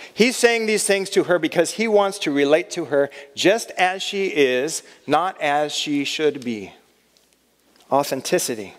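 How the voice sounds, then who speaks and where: A man in his thirties giving a chapel talk to college students, conversational and self-deprecating. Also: a little high. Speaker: chapel speaker. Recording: long reverb of a hall.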